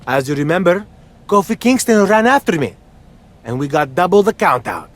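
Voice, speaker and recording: An actor playing a cowardly, pleading comic wrestler who speaks stilted broken English in a video game cutscene. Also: male voice, high-pitched, big pitch swings, moderate pace. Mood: sheepish excuses.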